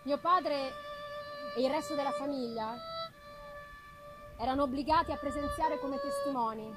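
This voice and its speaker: High-pitched woman solemnly reading gothic fiction. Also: sing-song, normal speed. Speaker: reader. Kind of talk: dramatic literary narration of gothic novel. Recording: music behind the reading. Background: live music.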